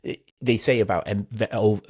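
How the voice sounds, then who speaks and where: A man's voice: on the low side, fairly even intonation, moderate pace, darkish tone, slightly rough, no echo, clean studio sound. A man with an English accent hosting a podcast in a casual, conversational interview style. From Britain. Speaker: podcast host.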